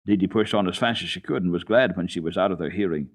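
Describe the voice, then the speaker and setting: A man giving an expressive, dramatic radio reading of a children's classic, with deliberate phrasing. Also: deep voice. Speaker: radio storyteller. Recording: dull lo-fi old radio recording.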